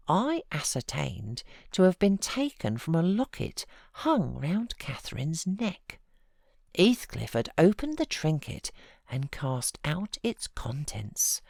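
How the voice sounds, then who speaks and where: A woman with a British accent giving an expressive, measured literary narration as an audiobook reader. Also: deep voice, dry recording, studio quality. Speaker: audiobook narrator, voiceover artist.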